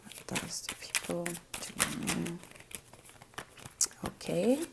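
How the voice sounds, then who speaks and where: A young woman with a French accent, a native French speaker, giving a spoken tarot reading in a soft, hesitant way, often dropping to a whisper and searching for words. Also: fairly low pitch, takes it slowly, vocal fry, background noise. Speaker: tarot reader.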